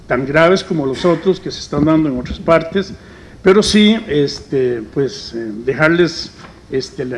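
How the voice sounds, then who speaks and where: A middle-aged man gives remarks at a panel table in a conference hall. He often stops to search for words and fills the gaps, and his voice sits a little high. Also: a little hiss or hum. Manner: halting, many fillers. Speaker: panel speaker. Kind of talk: public forum talk.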